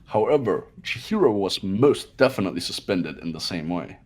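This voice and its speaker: A man's voice: sits low, sing-song, moderate pace. A man voicing a haughty, cold young rich genius who makes accusing declarations in an amateur game dub. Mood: cool, explanatory.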